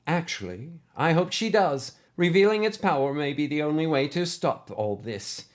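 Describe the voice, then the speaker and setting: Man playing older woman teacher, otherworldly, composed, stern, deliberate, raised pitch, storybook character. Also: animated, natural voice. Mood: slightly ominous. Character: calm, stern older schoolteacher woman, secretly alien.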